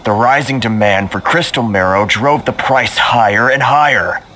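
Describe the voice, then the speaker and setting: An actor playing a worried, resigned foreign merchant in a video game, with a measured, plaintive delivery. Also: male voice, medium pitch, pushed and bright, slight background noise, close to an everyday voice, rough recording. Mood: explaining. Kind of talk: video game dialogue acting. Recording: game music behind.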